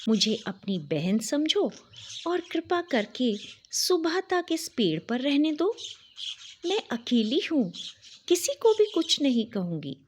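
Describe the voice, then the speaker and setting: A woman's voice: high-pitched, sing-song, slow, a little hiss or hum, pitched above the performer's own voice, full character voice. Woman voicing a pleading little myna bird. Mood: earnest. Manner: pleading, earnest, gentle. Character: lone frightened female myna bird, pleading.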